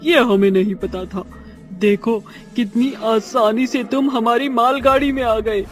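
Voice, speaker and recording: High, gloating ghost villain. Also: male voice, fairly even intonation, fairly bright tone, faint music behind. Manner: taunting, drawn-out. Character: gloating, mocking male ghost villain, commanding. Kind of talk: animated horror story acting.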